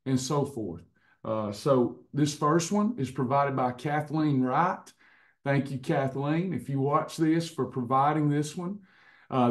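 A hoarse man in his fifties hosting a casual online recipe and wellness class over a webcam, chatty, with frequent restarts. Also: on the high side. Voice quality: slightly hoarse, clears throat. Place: indoors in front of a printed backdrop. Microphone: webcam on a video call.

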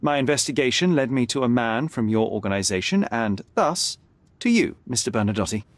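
An actor playing a confident, sharp young detective who speaks formally and articulately, needling and unflappable in a dramatic video game style. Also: male voice, mid-range voice, lively intonation, fairly quick, no room sound, close to an everyday voice. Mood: measured, probing.

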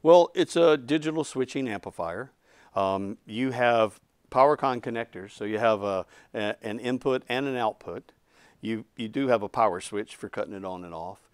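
A man's voice: lowish voice, moderate pace, clean tone, no room sound. A man in his fifties giving an unscripted technical explanation of a product as its designer. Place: indoor studio set, seated.

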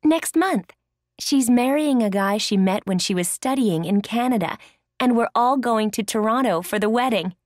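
A cheerful, chatty woman played by an actress speaks scripted language-course dialogue. Her voice is fairly high and the recording is dry. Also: animated, normal speed, a little mellow, no echo, natural voice. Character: cheerful, chatty woman sharing news with friends.

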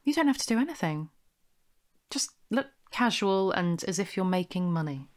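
A woman playing a smooth, bossy con artist with a brisk, persuasive manner in an audio drama. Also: mid-range voice, lively intonation, natural voice. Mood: brisk, impatient. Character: smooth, bossy female con artist posing as investment promoter.

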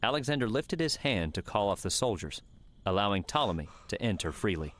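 A man's voice: lowish voice, big pitch swings, a little fast, a bit bright. A man reading scripted fiction as the narrator of an audiobook.

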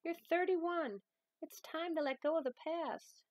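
A woman's voice: very high voice, unhurried, dry recording, pitched above the performer's own voice. A woman reading a novel aloud as a stern, loving grandmother, emphatic, folksy and firm. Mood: firm, tender. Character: stern, loving grandmother giving tough love.

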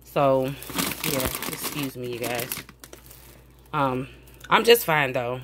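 Low-voiced woman in an unhurried haul vlog. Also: animated. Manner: folksy, enthusiastic about food. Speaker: vlogger. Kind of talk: casual grocery haul chat to viewers. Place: home kitchen, indoors. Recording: clear background noise, crinkling packaging.

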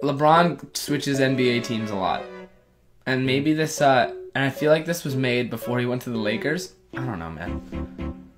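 A man's voice: medium pitch, lively intonation, moderate pace, long pauses, music in the background. A young man in his twenties co-hosting a casual reaction video, sarcastic and swearing, laughing often. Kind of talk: casual comedic reaction chat. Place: small bright room indoors.

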